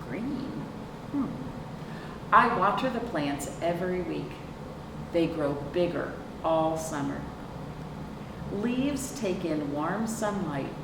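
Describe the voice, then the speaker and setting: A woman hosting a children's storytime, reading aloud expressively and prompting young listeners with simple questions. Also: on the low side. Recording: roomy, distant mic, clearly noisy.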